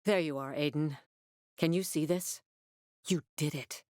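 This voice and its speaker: A woman's voice: sits low, measured pace, darkish tone, no echo, clean studio sound. A woman voicing a tough, no-nonsense peacekeeper officer in a video game, blunt and commanding in a curt way. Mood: briefing, resolute. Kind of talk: video game dialogue acting.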